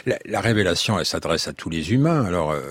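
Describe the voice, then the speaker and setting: Deep-voiced, husky radio host. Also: male voice, animated, no echo, clean studio sound. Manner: deliberate, hesitant questioning. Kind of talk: radio interview with archives.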